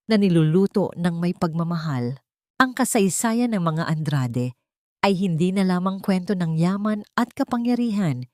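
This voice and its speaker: A woman narrating a dramatic story in an earnest, sentimental, measured way. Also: lowish voice, darkish tone, dry recording, studio quality.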